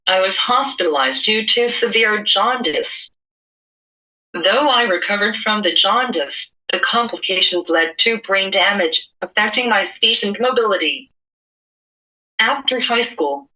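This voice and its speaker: Synthetic woman's voice, speech device, even measured delivery, bright, heard from a distance. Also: medium pitch. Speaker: guest speaking through a speech device.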